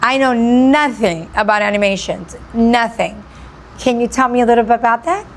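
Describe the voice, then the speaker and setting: A woman's voice: fairly high pitch, normal speed, slight background noise. A woman hosting a radio interview, gushing and repeating phrases for emphasis. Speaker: radio show host. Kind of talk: enthusiastic interview.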